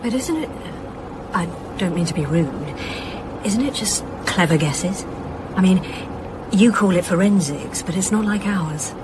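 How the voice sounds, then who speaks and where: An actor playing a female detective chief inspector in a radio drama, questioning in a measured, politely sceptical way. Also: mid-range voice, lively intonation, noisy background, natural voice. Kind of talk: naturalistic radio drama acting.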